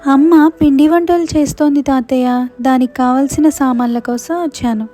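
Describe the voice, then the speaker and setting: A woman's voice: sits high, fairly even intonation, moderate pace, dark tone, faint music behind, natural voice. A woman voicing a polite, eager, innocent little village girl in a moral story cartoon.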